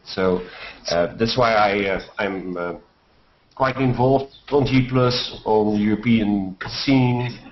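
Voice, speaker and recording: Older man, low voice, rambling and hesitant, roomy dull headset audio. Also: slow, a little hiss or hum. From Netherlands. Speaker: panelist. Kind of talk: self-introduction on video call. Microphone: headset on video call. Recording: echoey dull video call audio.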